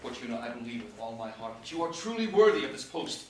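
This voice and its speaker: A man's voice: mid-range voice, very animated, fast talker, lightly acted. A man playing an earnest military adviser in a staged verse play, speaking formally and urgently. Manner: formal, declamatory verse delivery. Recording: very echoey room, distant mic, hiss.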